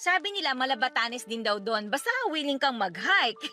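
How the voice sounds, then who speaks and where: Bright, high-pitched chatty Filipino wife. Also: female voice, big pitch swings, normal speed, faint music behind, heavily acted. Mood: brushing it off. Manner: chatty, colloquial, nagging. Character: talkative wife in her thirties, eager, stubbornly in denial. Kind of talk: Filipino radio drama acting.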